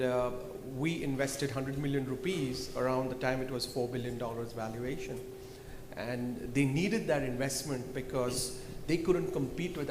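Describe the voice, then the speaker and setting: A man on a conference panel, pitching his company's results in a confident, salesy way. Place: large event hall. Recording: echoey hall with loud background noise.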